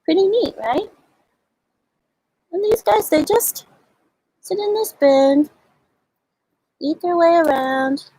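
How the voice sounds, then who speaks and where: A woman hosting a storytime for young children, explaining and reading aloud in simple words with little questions, in a slightly husky voice. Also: very high voice, slow, long pauses, mellow, low-quality recording. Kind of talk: show-and-tell and read-aloud for young children.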